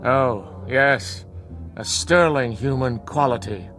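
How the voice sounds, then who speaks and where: A man's voice: mid-range voice, slow, over music, lightly acted. An actor playing a stern, bitter older father in a TV drama, speaking in a formal, dry, cutting manner. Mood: cold, skeptical. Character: stern, bitter ailing father, protective of his daughter. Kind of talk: scripted TV drama acting.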